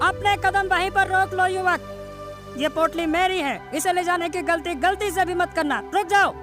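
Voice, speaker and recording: A scheming male royal minister in a cartoon story acted by a woman. The voice is high and commanding, with a menacing edge. Music plays clearly behind it. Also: normal speed. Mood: threatening. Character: scheming, threatening thieving royal minister, a grown man.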